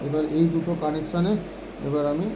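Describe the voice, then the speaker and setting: A man's voice: a little high, fairly even intonation, brisk, dull audio, background noise. A man giving an informal hands-on phone repair tutorial, often checking in with short tag questions.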